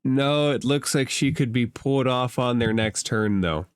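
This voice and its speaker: A man's voice: mid-range voice, normal speed, clean tone, no room sound. A man acting as game master in a tabletop role-playing game, giving rulings in a matter-of-fact way.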